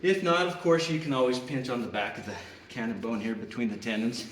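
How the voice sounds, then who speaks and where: A man's voice: medium pitch, steady tone, a little fast, slight background noise. A middle-aged man working as a farrier, patiently explaining a hoof trim while he works bent over a horse's foot in a barn. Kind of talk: hands-on trimming demonstration. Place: roomy barn with concrete floor. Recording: echoey barn.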